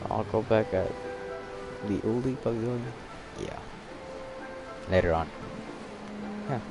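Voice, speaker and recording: A man playing through a video game, reading dialogue aloud and commenting in a halting, offhand way. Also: lowish voice, creaky, music in the background. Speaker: gaming streamer.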